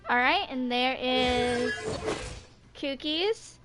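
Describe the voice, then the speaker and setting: A woman in her twenties chatting casually and haltingly to herself during gameplay, with game music behind her. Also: high voice, background noise. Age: 20s. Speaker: gaming video creator. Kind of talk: casual off-the-cuff gameplay chat.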